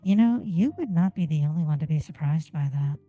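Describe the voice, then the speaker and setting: A woman whose first language is Japanese, chatting on a game stream and enunciating each word deliberately into a desk microphone. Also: sits low, animated, dark tone, muffled recording, faint music behind. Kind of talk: casual gameplay stream chat. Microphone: desk microphone on an arm.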